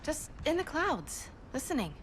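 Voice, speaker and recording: A woman's voice: high voice, very animated, unhurried, heavy background noise. A woman playing a restless young alien superheroine in a video game, earnest, impatient and defiant. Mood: frustrated.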